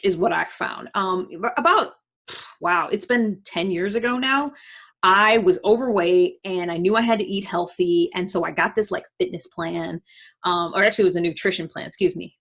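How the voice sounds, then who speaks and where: A woman's voice: mid-range voice, slightly rough. A woman giving casual motivational advice as a host, conversational and emphatic, often voicing imagined self-talk.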